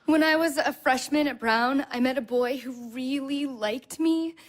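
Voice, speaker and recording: A woman's voice: sits high, a little slow. An actress playing a fragile, needy young woman in an audio play, plaintive and probing. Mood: distressed, confiding. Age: young adult. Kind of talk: naturalistic audio play dialogue.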